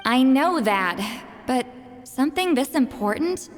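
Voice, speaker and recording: A woman's voice: sits high, sing-song, a little slow. An actress voicing a proud, prickly young woman shaman in a video game, guarded and a little haughty, with tenderness underneath. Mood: reluctant, tender. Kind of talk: English video game voice acting. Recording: music underneath.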